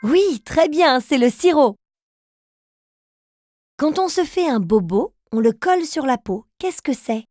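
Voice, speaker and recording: A woman's voice: sits high, animated, a little slow, pauses often, darkish tone, no room sound. An actress playing a patient, encouraging female teacher who talks to young children in a preschool cartoon. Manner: patient, encouraging, clearly addressing small children.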